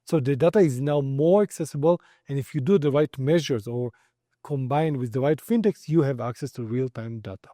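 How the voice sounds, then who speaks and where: A man's voice: medium pitch, fairly quick, dark tone, no echo, clean studio sound. A middle-aged man with an Israeli accent, giving explanatory answers as an expert in an interview. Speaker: company founder and credit expert interviewee.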